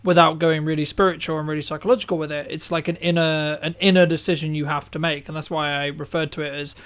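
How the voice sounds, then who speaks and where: A man's voice: a little high, little pitch movement, fast, a bit bright, clean tone. A man hosting a conversational business interview, speaking emphatically with rhetorical questions and frequent checks for agreement. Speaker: interview host and filmmaker.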